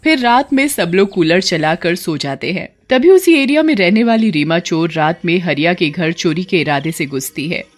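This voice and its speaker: A woman's voice: on the low side, lively intonation, normal speed, few pauses. A woman reading scripted narration for a story.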